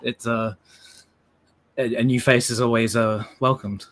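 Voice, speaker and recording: A man's voice: medium pitch, measured pace. A man from Australia with a mild Australian accent, chatting casually as a guest on a live stream and acting out the voices he quotes. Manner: animated, acts out quoted voices. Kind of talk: casual chat.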